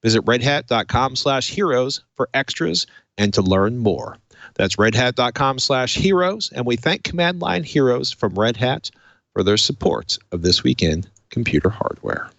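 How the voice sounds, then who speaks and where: A man's voice: mid-range voice, lively intonation, normal speed, vocal fry, fairly bright tone, dry recording, highs cut off. A man hosting a casual tech podcast in a conversational, wry way, moving between chat and read-out copy. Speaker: podcast host. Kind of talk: casual tech talk show with a read sponsor message.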